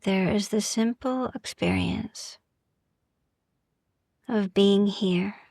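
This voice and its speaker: A woman guiding a sleep meditation in a soft, gentle, soothing ASMR style, hushed and often slipping into whispers, with long pauses. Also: mid-range voice, dry recording, clean studio sound. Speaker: sleep meditation podcast host.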